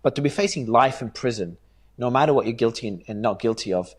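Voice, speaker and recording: A man's voice: mid-range voice, animated, quick pace. A man hosting an interview, asking questions in an earnest, searching way with hesitant restarts. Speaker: interview host.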